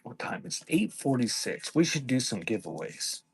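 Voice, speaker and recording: A man running a casual live-stream sale, talking in an offhand, halting way and thanking viewers by name. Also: medium pitch, big pitch swings, creaky. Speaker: live online seller.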